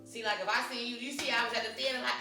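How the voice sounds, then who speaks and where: A woman's voice: fairly high pitch, fairly even intonation, racing. A woman hosting a casual, chatty interview in a slangy, familiar way, often repeating phrases for emphasis. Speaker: interview host. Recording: echoey room, distant mic, music behind.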